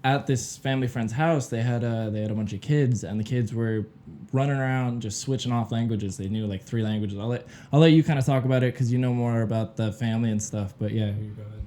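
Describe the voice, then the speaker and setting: Mellow-voiced man hosting a podcast. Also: medium pitch, fast talker. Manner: loose, filler-heavy talk. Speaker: podcast host. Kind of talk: casual podcast chat.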